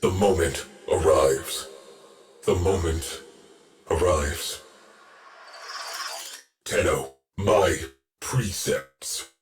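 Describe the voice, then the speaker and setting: A man's voice: deep voice, very slow, pauses often, soft background music. A man playing a stern, weary veteran military ship AI in a video game, giving terse, solemn mission briefings. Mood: grave, brooding. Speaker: mission control and ship intelligence.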